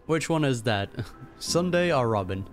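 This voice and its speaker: Casting: male gaming host, mid-pitched, relaxed and slangy, casual playthrough commentary over music. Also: measured pace. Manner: laid-back, slangy. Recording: game music behind the voice.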